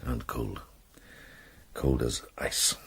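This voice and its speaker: An actor playing a caring, grave older monk with gentle authority in a radio drama. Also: male voice, extremely slow.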